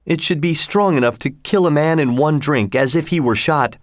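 A man's voice: a little high, moderate pace, dry recording, clean studio sound, close to an everyday voice. A man reading aloud, voicing an impulsive young lover who talks with urgency. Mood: grim. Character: young male lover, passionate and rash.

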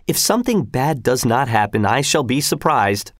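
A man's voice: medium pitch, big pitch swings, moderate pace, dry recording, studio quality, lightly acted. A man reading a story voices a worried wife and mother who scolds and frets insistently, in a raised voice. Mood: anxious. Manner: nagging, fretful, insistent. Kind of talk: audiobook character reading.

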